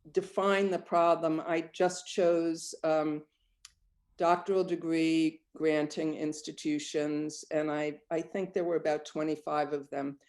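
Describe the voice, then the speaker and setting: An older woman composer answering interview questions thoughtfully, often pausing with ums as she searches for words. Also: fairly low pitch, darkish tone. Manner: reflective, searching, with frequent ums.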